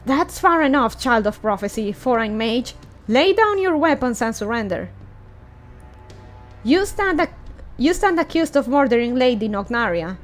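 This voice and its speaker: A woman's voice: fairly high pitch, lively intonation, moderate pace, soft background music, close to an everyday voice. A woman reading a stern female fairy security chief from a fantasy game with formal, commanding delivery.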